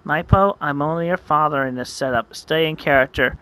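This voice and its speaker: A woman voicing a male martial arts master, firm and encouraging, instructing deliberately in an anime-style story. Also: low voice, fairly bright tone. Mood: measured.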